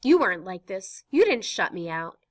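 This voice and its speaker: A woman's voice: mid-range voice, big pitch swings, normal speed. A woman reading a stage play aloud and voicing a tender, wounded middle-aged mother. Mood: hurt.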